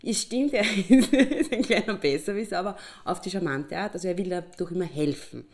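A female actor plays a chatty Austrian woman who teases and insists with amusement. Her pitch is a little low and her talk is casual, like relaxed conversation in a radio play. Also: brisk, natural voice. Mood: amused, fond. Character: chatty, teasing woman contradicting her partner. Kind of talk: casual improvised-sounding radio play chat.

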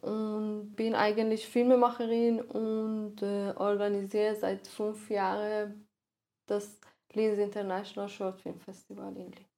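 A woman's voice: mid-range voice, completely flat delivery, a little slow, dark tone. A woman in her thirties from Iran with a Persian accent, speaking German as a second language and answering interview questions with frequent hesitations and restarts. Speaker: guest, filmmaker. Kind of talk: podcast interview.

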